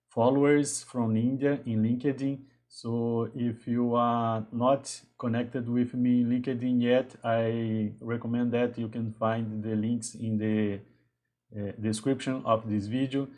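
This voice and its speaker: Brazilian man hosting a halting live stream. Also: medium pitch, darkish tone. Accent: Brazilian Portuguese. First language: Portuguese. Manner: halting, restarts often, greets viewers. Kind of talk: casual live-stream talk.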